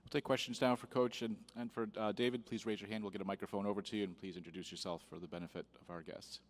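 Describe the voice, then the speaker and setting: A man moderating a press conference with brisk, formal announcements. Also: on the low side, fairly even intonation.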